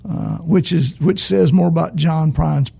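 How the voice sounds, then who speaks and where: Male host, high-pitched, heavy fry, husky, easygoing. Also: fairly even intonation, unhurried, warm tone. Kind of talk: casual interview chat.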